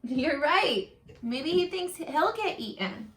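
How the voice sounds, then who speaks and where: A woman reading aloud to children as a teacher, expressive and addressing them directly with prompting questions. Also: sits high, slow pace, roomy sound.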